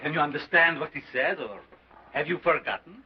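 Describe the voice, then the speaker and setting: A man's voice: on the high side, brisk, lightly acted. An actor playing a sinister, imperious showman doctor who speaks in a commanding, theatrical way. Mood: declaiming. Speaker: showman scientist. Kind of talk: 1930s film acting. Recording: dull, noisy old film soundtrack.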